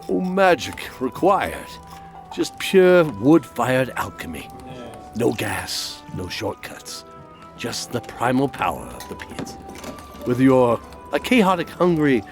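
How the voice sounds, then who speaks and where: A male announcer delivers a theatrical fantasy-style ad read. His medium-pitched voice moves up and down a lot for emphasis, over a quiet music bed. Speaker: advertisement voice-over.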